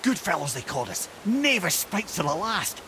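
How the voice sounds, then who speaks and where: A witty old Scottish counselor from a video game. He tells tales with wry, eloquent flourish and a very lively, swooping pitch, with clear ambient noise behind him. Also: moderate pace, exaggerated voice, low-quality recording. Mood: amused, reminiscing. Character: witty, wry old counselor, a well-travelled talking head. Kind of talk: video game character dialogue.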